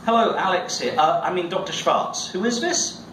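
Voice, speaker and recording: Man voicing nervous young male boffin for a storybook reading: stammering, hasty, swooping pitch, noisy distant recording. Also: animated, normal speed. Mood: awkward. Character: awkward, flustered young male mathematician in government work. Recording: echoey, noisy room, distant mic.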